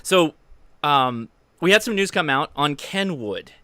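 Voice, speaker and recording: A man's voice: fairly high pitch, animated, measured pace, bright, forceful tone, no room sound. A middle-aged man hosting a casual livestream interview, speaking close to the mic at a desk indoors. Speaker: host of a ham radio livestream. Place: indoors at a desk, live stream.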